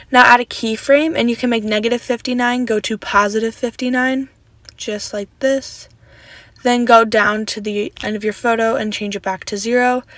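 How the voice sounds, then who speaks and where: A young woman talks through a video editing tutorial in a casual, friendly voice, slightly raspy from just waking up. She explains each step plainly, often wrapping up with "so yeah". Her voice is close to the mic and completely dry. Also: fairly high pitch, no echo. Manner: relaxed, chatty step-by-step instructions with "so yeah" fillers. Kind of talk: casual screen-recorded tutorial voice-over.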